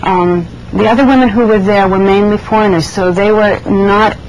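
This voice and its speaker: A woman who grew up in Iran, being interviewed and recalling her past in a reflective, hesitant way, with many pauses and restarts. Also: medium pitch, fairly even intonation, a little mellow, slight background noise, poor audio. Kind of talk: oral history interview.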